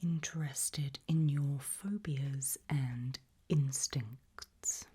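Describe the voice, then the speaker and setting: A woman softly whispering an ASMR roleplay, questioning the listener in a deliberate, gently ominous way. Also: a bass voice. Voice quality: soft breathy whisper, mostly unvoiced.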